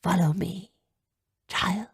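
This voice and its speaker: A woman voicing an old, calm and kindly woman mage who speaks in a hushed, gentle way. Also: sits low, a little slow, creaky, no echo, full character voice.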